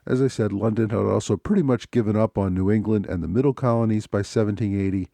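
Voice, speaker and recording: A man hosting a history podcast, talking in a measured, unhurried way, his voice a little scratchy from a cold. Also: fairly low pitch, creaky, dark tone, no echo. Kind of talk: solo podcast talk.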